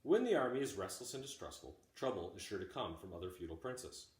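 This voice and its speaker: A man reading a classic text aloud like a teacher, in measured, deliberate phrases. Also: fairly low pitch, big pitch swings. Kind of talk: audiobook-style read-aloud of a classic text. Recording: roomy, echoey home recording.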